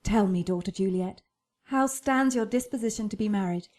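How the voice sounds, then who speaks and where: A woman's voice: medium pitch, moderate pace, a little mellow, close to an everyday voice. An actress playing a purposeful noble mother in a Shakespearean radio drama. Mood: purposeful, persuasive. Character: purposeful noble mother discussing daughter's marriage. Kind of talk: classical Shakespearean radio acting.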